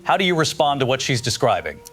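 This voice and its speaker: A man's voice: medium pitch, very animated, quick pace, fairly bright tone, soft background music. A man playing a concerned radio news host in an audio drama, courteous and earnest as he questions people. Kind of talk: live call-in news show in an audio drama.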